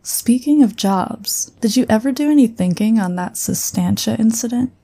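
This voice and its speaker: Lady playing a psychic space agent, mellow and earnest, talks conversationally, sci-fi audio drama. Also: a little high, lively intonation, moderate pace, slightly rough. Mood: casual. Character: earnest female psionic agent, loyal but wary.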